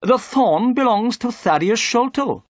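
A pompous police inspector in an audiobook mystery, pitched well up and swooping with self-importance. He is brusque and insistent, sure of his own authority. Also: lively intonation, unhurried, no room sound. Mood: pompous, certain.

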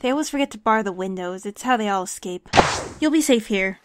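A woman's voice: mid-range voice, animated, brisk, heavy background noise, natural voice. A woman playing a secretive young village woman who acts as a rescuer, in a comedic anime parody dub. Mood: hushed, brisk.

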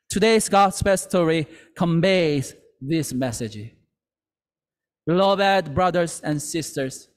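Slow earnest pastor in a reverberant hall. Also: male voice, high voice. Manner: slow, earnest, with halting restarts. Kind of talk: sermon. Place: church sanctuary.

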